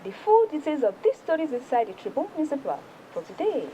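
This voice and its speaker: High-pitched Nigerian woman reading news. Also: sing-song, brisk, muffled recording, background noise. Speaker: news presenter reviewing newspapers. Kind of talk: read-aloud newspaper review on TV.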